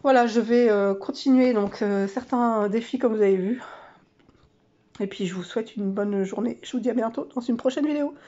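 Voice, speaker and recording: Stuffed-up woman vlogger, nasal, mellow and slightly high, chatty and self-deprecating, dull lo-fi sound. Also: moderate pace. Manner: chatty, self-mocking, trails off with fillers. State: stuffy nose from a cold. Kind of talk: casual monthly challenge review.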